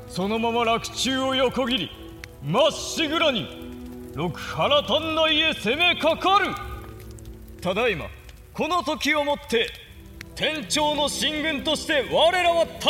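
An ambitious young samurai lord in a period radio play. His voice sits high for a man and carries defiant, declamatory fervor in archaic warrior phrasing. Music plays clearly behind him. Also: sharp tone, full character voice. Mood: rousing command. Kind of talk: Japanese period audio drama acting.

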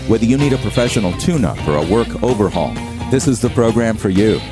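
A man announcing the opening of a radio show in a lively, scripted announcer style. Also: on the low side, fairly quick. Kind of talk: scripted show intro over music. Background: guitar music.

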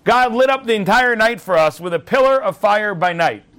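A man giving a storytelling sermon-style Torah lesson, animated and chatty, often repeating words mid-sentence. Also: high-pitched, normal speed, a little hiss or hum. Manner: chatty asides to listeners. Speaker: Torah teacher.

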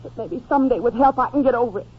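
A desperate, embittered young woman with a high-pitched voice. Her delivery is emotional and melodramatic, in old radio drama style. The recording is muffled and aged. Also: racing, slightly rough. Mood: bitter, fed up. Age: young adult. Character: bitter, desperate young woman drug addict turning on her gang.

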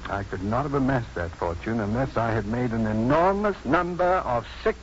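A man's voice: medium pitch, animated, normal speed, lightly acted. Proud maverick physician played by a male actor, formal and sardonic, 1950s radio play, dull hissy recording. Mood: firm, earnest. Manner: formal, precise, dryly sardonic. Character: proud unconventional male doctor, coolly defiant and dryly witty. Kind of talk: 1950s radio drama acting.

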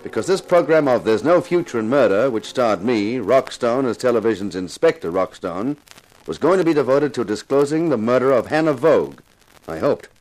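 Man acting intense, theatrical TV detective, lively pitch. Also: lightly acted, poor audio. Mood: tense, confiding. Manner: theatrical, deliberate, insistent. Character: intense television-detective actor, grave narrator turned relentless questioner. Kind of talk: 1950s radio drama acting, first-person crime narration.

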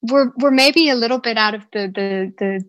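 A woman's voice: fairly high pitch, normal speed, fairly bright tone, no room sound, studio quality. A woman working as a voice coach, answering interview questions thoughtfully with frequent restarts and repeated words.

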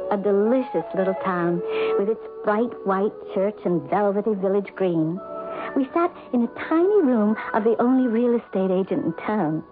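An actress playing an eager, earnest married woman in a 1970s radio drama, expressive and a little wistful. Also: medium pitch, close to an everyday voice. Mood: wistful narration. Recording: narrow-band radio broadcast, music behind.

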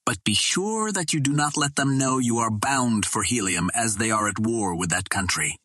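A man voicing a formal, smoothly polite old Martian with hidden treachery, in an audiobook. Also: medium pitch, lively intonation, moderate pace, pushed and bright, a little hiss or hum. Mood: guarded advice. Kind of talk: audiobook character dialogue.

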